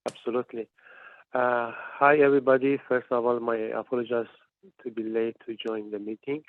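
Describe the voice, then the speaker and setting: A man's voice: mid-range voice, little pitch movement, moderate pace, no room sound. A man in his forties from Iran, a panelist on a phone call, speaking with frequent hesitations and restarts. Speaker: panelist, PhD student. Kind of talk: panel discussion. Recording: tinny dry phone line.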